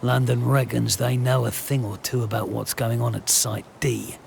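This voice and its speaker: An actor playing a dry, determined Allied army captain in a game, thinking aloud in terse, understated lines with a gravelly voice. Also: male voice, mid-range voice, level tone, moderate pace, darkish tone, a little hiss or hum. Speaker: game protagonist.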